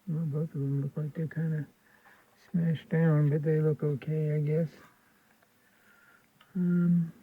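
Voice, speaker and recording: A man's voice: a little high, no echo. A man with an American accent giving unscripted hands-on repair commentary, thinking aloud in a folksy, colloquial way. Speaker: host of a radio repair video. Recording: narrow phone-like sound.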